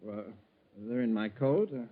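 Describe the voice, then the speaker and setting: A man's voice: medium pitch, lively intonation, unhurried, close to an everyday voice. Guy doing a middle-aged crook in an old radio play, shifty and hesitant, thin tinny sound. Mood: evasive. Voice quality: hesitant, stammering under pressure. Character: nervous, scheming middle-aged bank embezzler. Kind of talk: 1940s radio acting. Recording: tinny, band-limited 1940s radio recording.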